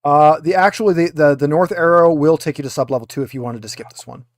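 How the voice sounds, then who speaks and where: A man with a slightly high voice narrates a tabletop game as its game master. He improvises casually, stopping and restarting as he lays things out. The sound is dry and close. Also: fast talker, dry recording.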